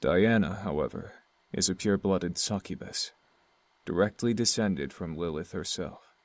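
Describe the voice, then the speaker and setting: An actor playing a gentle young male incubus prince, speaking calmly and affectionately to his fiancée. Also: deep voice, normal speed, no echo, close to an everyday voice. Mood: explaining. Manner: calm, soft-spoken and affectionate. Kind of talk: visual novel voice acting.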